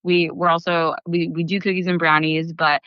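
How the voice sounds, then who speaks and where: A woman's voice: fairly low pitch, level tone, moderate pace, clean tone, dry recording, dull audio. A young woman, born in the Philippines and raised in California, chatting as a guest about her bakery, with lots of fillers and restarts. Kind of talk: casual interview talk.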